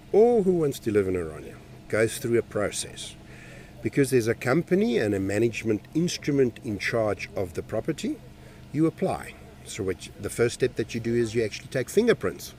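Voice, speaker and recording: A man calmly explaining, step by step, in an interview. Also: medium pitch, brisk, a little hiss or hum. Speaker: community representative.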